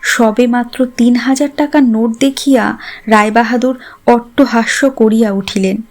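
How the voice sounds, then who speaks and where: A woman's voice: on the high side, fairly even intonation, moderate pace. A woman narrating a literary story a script.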